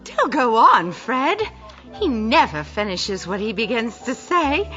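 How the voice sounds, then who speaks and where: An actress playing a spirited, teasing young wife in an audio play, playfully exasperated and indignant. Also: female voice, a little high, sing-song, slow pace, sharp tone, heavily acted. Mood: playful exasperation. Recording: dull audio, faint music behind.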